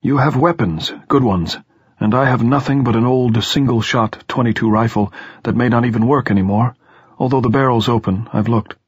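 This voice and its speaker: A man voicing an elderly headmaster who is courtly, grave and resolute, with formal, pedantic, measured diction in an audiobook. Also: mid-range voice, breathy edge, no echo, muffled recording, pitched above the performer's own voice, lightly acted.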